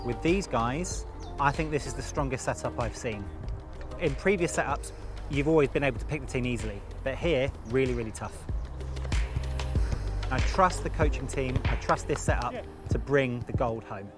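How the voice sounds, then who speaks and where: A man's voice: medium pitch, moderate pace. A man from Scotland, a football player, speaking earnestly and with feeling in an interview. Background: music.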